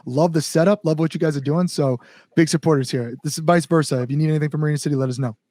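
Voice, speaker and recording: A man's voice: on the high side, steady tone, racing, mellow, dry recording, studio quality. A man chatting casually as an interview guest, a musician talking enthusiastically in loose, conversational phrases. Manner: enthusiastic, frequent "like" and "you know". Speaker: guest musician, band singer.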